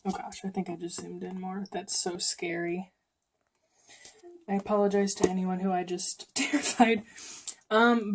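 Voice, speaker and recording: A young woman with a slight cold, chatting casually through a makeup tutorial with lots of ums and self-corrections. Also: mid-range voice. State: sniffly, coming down with a cold. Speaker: beauty vlogger.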